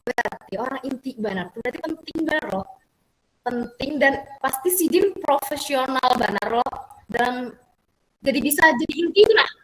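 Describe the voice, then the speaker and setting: A woman's voice: sits high, animated, moderate pace, reverberant, rough recording. A young woman with a Banjar accent, speaking up in a classroom discussion in a casual, hesitant way and mixing in local words. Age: young adult. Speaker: student presenter.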